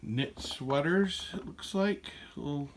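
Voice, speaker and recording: A man hosting a podcast, casually walking through a small craft store and describing it, with relaxed, rambling talk full of fillers. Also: a little high, slow pace, slight background noise. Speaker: podcast host giving a store tour. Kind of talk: casual walkthrough narration.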